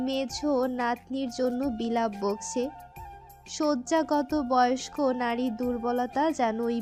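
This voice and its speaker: A woman narrating a scripted romantic story in an expressive, literary storytelling manner. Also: on the high side. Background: music.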